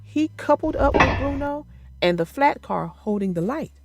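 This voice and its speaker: Casting: expressive woman for children's read-aloud narration, lively and high-pitched. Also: moderate pace, loud music behind the voice. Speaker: storybook narrator.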